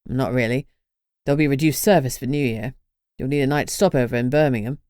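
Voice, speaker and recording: Woman doing a gruff male vampire, stern, very low and deep, dry close sound, storybook reading. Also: fairly quick, darkish tone, no echo.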